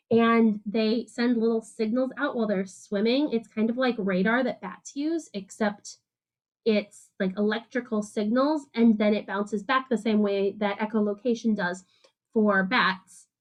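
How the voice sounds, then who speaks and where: A woman teacher reading a picture book aloud to children in an animated, sing-song way, with simple, casual explanations. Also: fairly high pitch, highs cut off.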